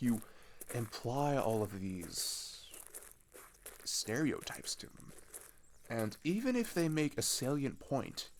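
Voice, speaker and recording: A young man playing an earnest, frustrated teenage traveller in an ASMR audio roleplay, talking in a casual, halting, rambling way. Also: lowish voice, slight background noise. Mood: earnest, reflective.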